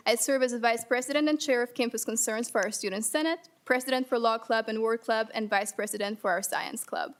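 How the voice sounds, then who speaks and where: A young woman from Albania, a college senior and student leader, reading a brisk formal statement into a desk microphone at a hearing. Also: a little high. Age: young adult. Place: legislative hearing room.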